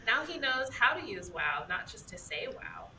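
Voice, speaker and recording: A woman chiming in to a casual roundtable, lively and chatty, laughing along with the others. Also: medium pitch, normal speed, very noisy. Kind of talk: casual chat.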